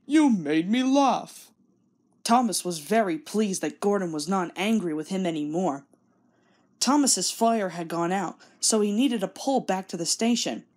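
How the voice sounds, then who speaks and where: A woman's voice: fairly low pitch, normal speed, a little mellow. A woman reading a children's story aloud as scripted narration. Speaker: storybook reader.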